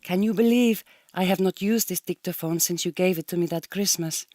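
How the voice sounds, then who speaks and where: A woman's voice: fairly low pitch, brisk, mellow, no echo, clean studio sound, natural voice. An actress playing a proud, homesick Hungarian migrant woman in a radio drama, speaking a diary in slightly stilted, formal English with a Hungarian accent. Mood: wondering, tender. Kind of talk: recorded audio diary monologue in a radio drama.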